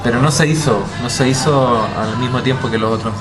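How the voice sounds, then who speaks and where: Male editor, mid-pitch, halting delivery full of restarts, loud music bed under the voice. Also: low-quality recording. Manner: hesitant, full of restarts and repeated words. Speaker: book editor. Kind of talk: storytelling monologue.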